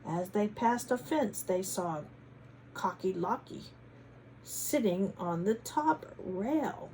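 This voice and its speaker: A woman reading a storybook to children in an expressive, teacherly way, adding chatty asides and questions. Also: medium pitch, takes it slowly, a little hiss or hum. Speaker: children's read-aloud host, former teacher.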